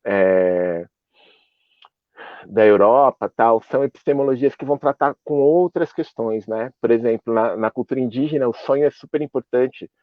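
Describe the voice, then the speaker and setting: A man's voice: mid-range voice, moderate pace, no echo, narrow, tinny audio. A man with a Brazilian Portuguese accent, speaking on a video call as a panel speaker, explaining his ideas reflectively and often checking in with the listener.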